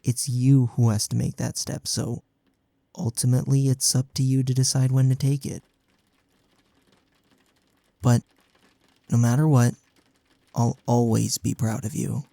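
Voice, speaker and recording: A man playing a caring, frank young best friend in an intimate comfort audio roleplay, speaking in a soft, earnest, reassuring way. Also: mid-range voice, flat delivery, measured pace, creaky, long pauses, no room sound. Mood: tender, sad.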